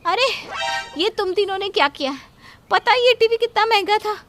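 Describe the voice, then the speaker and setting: A woman's voice: very high voice, animated, moderate pace, music in the background. A woman voicing a put-upon young daughter-in-law in a cartoon story, complaining and indignant. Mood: annoyed. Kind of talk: Hindi cartoon story acting.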